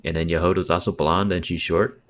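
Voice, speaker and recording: A woman's voice: rumbling low, fairly even intonation, fairly quick. A woman giving casual, slangy, joking livestream commentary over a game, with some swearing. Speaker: game streamer. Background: faint game music.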